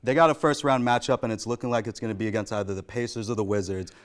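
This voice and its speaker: A man's voice: lowish voice, steady tone, rapid-fire. A man in his twenties co-hosting a sports talk show, discussing in an agreeable, conversational way. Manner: agreeable, backchannels often.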